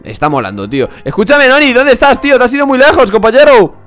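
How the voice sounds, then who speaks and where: A man from giving loud, excited Minecraft gameplay commentary, yelling and swearing as he plays. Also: extremely high pitch, fast talker, faint music behind. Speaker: gaming youtuber.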